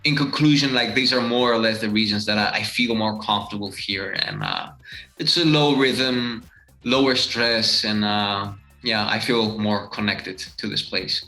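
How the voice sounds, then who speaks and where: A man from Greece in his thirties talks about his life in a relaxed, thoughtful way, pausing often with fillers. His voice sits fairly low but sounds bright and forceful. The room around him is echoey. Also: soft background music. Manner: relaxed, reflective, many fillers. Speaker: fitness coach and channel host. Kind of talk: casual interview answers.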